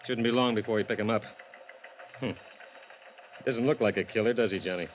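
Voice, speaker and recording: A man playing a gruff, determined police sergeant in a 1950s radio drama, speaking in a terse, offhand way. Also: lowish voice, fairly quick, lightly acted. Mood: businesslike. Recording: dull vintage radio recording, faint noise.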